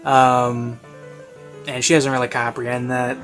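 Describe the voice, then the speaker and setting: A man giving a casual, rambling spoken review in a hesitant, halting way, as if thinking aloud. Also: medium pitch, completely flat delivery. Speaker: reviewer of audio series. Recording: classical music playing behind. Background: classical music.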